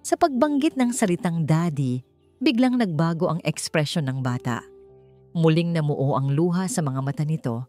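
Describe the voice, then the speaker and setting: Low, mellow woman storyteller. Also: expressive, normal speed, faint music behind. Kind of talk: scripted dramatic story narration.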